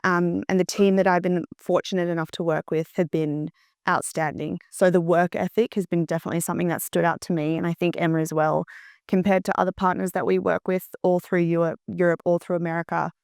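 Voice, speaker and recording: A woman's voice: fairly low pitch, flat delivery, fairly quick, gravelly, a little mellow, clear, resonant tone, no room sound, studio quality. A woman in her thirties answering conversationally as a panel guest, peppering her speech with "like". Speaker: panel guest, tech company partner representative. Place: indoor studio, seated on a sofa. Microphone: clip-on lapel.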